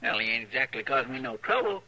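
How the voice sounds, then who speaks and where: A man's voice: mid-range voice, big pitch swings, fast, bright, forceful tone, breathy edge, full character voice, low-quality recording. A man playing a stubborn, bumbling deputy sidekick in a radio western, with a twangy rural drawl and a folksy, hemming way of talking. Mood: sheepish, defensive. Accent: rural American Western drawl. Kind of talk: 1950s radio western acting. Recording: old radio recording.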